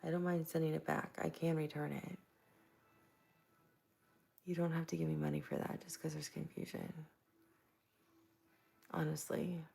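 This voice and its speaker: A soft-spoken woman from Tampa, Florida, chatting casually with viewers while hosting a live stream into a plugged-in microphone. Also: low-pitched, little pitch movement, moderate pace, vocal fry, long pauses, husky, soft background music. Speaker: livestream host.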